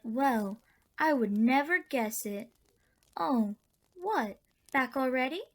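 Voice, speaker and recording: An actress playing a kindly, whimsical old auntie in a radio drama, gentle and wryly indulgent. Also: female voice, high-pitched, lively intonation, takes it slowly, full character voice. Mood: warmly amused. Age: older.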